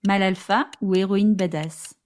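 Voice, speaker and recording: A woman's voice: mid-range voice, a little slow, a little hiss or hum. A woman hosting a book podcast, asking prepared interview questions and reading a book summary. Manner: steady, reads prepared questions. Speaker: podcast host.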